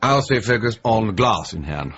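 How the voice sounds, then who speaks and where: Awkward, animated British gentleman. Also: on the low side, moderate pace, husky, dull audio, heavily acted. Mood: tipsy, playful. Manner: hesitant, flustered, well-mannered. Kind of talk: radio comedy-drama acting.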